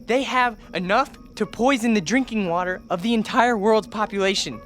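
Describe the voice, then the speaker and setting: An actor playing a desperate young alien man posing as human, speaking urgently and haltingly in a radio drama. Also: high voice, a bit bright, faint music behind. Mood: grave, revealing.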